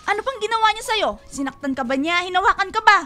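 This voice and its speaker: A woman's voice: very high-pitched, animated, fast, sharp tone, exaggerated voice. A woman with a Filipino accent acting a fiercely protective, anguished mother in a radio drama, emphatic and pleading. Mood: outraged, worried. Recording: music bed under the voice.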